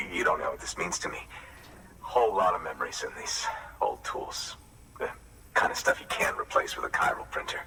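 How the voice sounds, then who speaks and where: An actor playing a gruff, wary middle-aged survivalist weapon maker in a video game, with a gravelly voice and a folksy, blunt drawl. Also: male voice, medium pitch. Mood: amazed, grateful. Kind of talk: video game cutscene acting. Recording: slightly distant mic, faint background noise.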